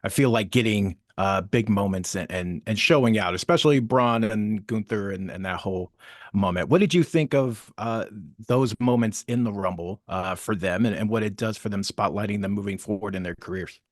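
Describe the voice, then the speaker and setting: A man's voice: lowish voice, moderate pace, dry recording, clean studio sound. A male reporter asking a question on a press call in a polite, slightly hesitant way.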